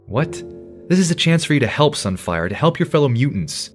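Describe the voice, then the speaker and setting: A man's voice: fairly high pitch, very animated, a little fast, faint music behind. An actor playing an earnest, stern young male superhero team leader in an audio drama, serious and commanding. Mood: firm, frustrated.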